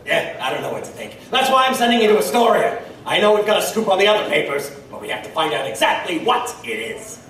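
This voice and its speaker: Echoey distant recording, man acting as exasperated sarcastic editor, high voice, emphatic delivery, steampunk radio play. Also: big pitch swings, fast, a bit bright. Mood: urgent, intrigued. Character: gruff, exasperated male newspaper editor, sarcastic boss. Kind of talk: steampunk audio drama acting. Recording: roomy, distant, faint background noise.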